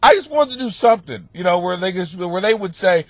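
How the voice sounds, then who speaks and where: A man and comic hosting a podcast, with a stuffed-up nose, telling stories in a profane, animated rant that breaks into shouting. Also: high-pitched, brisk, dry recording. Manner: animated, swearing, bursts into shouting. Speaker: stand-up comic and podcast host. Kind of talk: profane comedic rant monologue.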